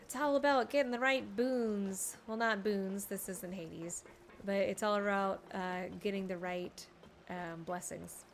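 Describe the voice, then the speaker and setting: A woman's voice: medium pitch, fairly even intonation, unhurried, slight background noise. A woman livestreaming a video game, chatting casually to viewers with playful asides. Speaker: game streamer. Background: video game music.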